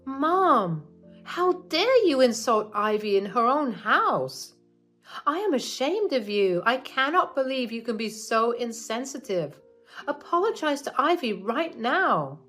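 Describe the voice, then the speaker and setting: A woman's voice: lowish voice, very animated, normal speed, bright, forceful tone, soft background music, heavily acted. A woman voicing an angry young husband scolding his mother, firm and indignant.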